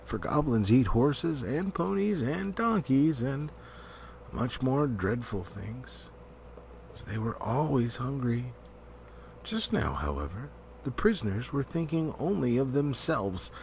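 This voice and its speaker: A man narrating a storybook as an amateur reader, theatrical, with drawn-out words and pauses. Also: medium pitch, very animated, gravelly, a little mellow, slight background noise. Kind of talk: dramatic storybook reading.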